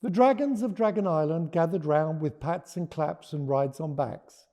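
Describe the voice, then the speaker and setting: A man with a mellow, creaky voice, pitched a little high for a male speaker. He talks as a children's storyteller, earnest and deliberate in his phrasing. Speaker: author and storyteller. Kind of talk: expressive picture-book read-aloud and informal explanation.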